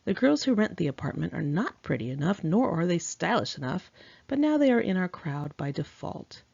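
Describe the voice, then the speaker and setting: Gravelly, husky woman reading her memoir. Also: fairly low pitch, big pitch swings, moderate pace, darkish tone, no echo, lo-fi sound. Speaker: author reading own memoir. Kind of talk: memoir narration read aloud.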